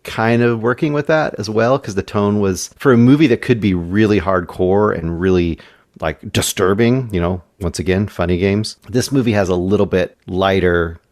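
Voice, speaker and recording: A man's voice: on the low side, moderate pace, a little mellow, no echo, studio quality. A man giving a casual movie review as a panelist, thinking aloud and qualifying his opinions.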